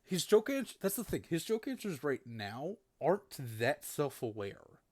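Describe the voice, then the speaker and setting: A man's voice: on the high side, sing-song, unhurried. A man hosting casual game commentary, blunt and sweary, laughing often. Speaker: host.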